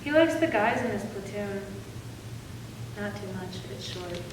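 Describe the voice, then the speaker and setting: A woman's voice: mid-range voice, expressive, unhurried, natural voice. A woman playing a trusting, loyal young sweetheart of a soldier in a readers theater drama. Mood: subdued. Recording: echoey hall from far off, clear noise.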